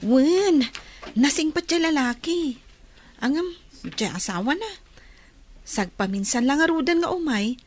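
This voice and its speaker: A woman's voice: sits high, animated, unhurried, long pauses, slight background noise. A woman acting in a radio drama as a spirited, chatty young woman who is full of questions.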